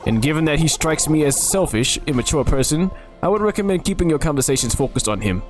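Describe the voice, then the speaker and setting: A man's voice: a little high, animated, quick pace, soft background music, lightly acted. A man doing a lofty formal Cupid. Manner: grand, old-fashioned formal speech. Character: lofty formal male Cupid, guardian of love. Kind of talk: reading a dating game aloud in voices.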